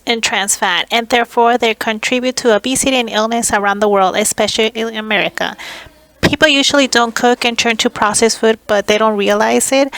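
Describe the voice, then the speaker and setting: A woman's voice: on the high side, a bit bright, no echo. A woman giving a scripted student presentation in a question-and-answer format.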